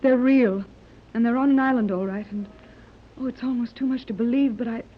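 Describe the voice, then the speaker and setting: A woman's voice: a little high, brisk, lightly acted. A woman playing an anxious, genteel middle-aged mother in a 1930s radio drama, polite, hesitant and emotive. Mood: shaken. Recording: muffled, noisy 1930s radio recording.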